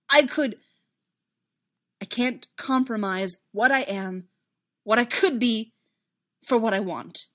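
A woman voicing an anguished, self-doubting young male Jedi in a story, impassioned and halting. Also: medium pitch, a bit bright. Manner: impassioned, halting, insistent repetition.

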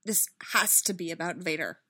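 A woman's voice: lowish voice, lively intonation, pushed and bright, slightly rough, heavily acted. Woman acting an anguished, impassioned young man. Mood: wretched longing. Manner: impassioned, halting, insistent repetition. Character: anguished young male Jedi, self-doubting, terrified of himself.

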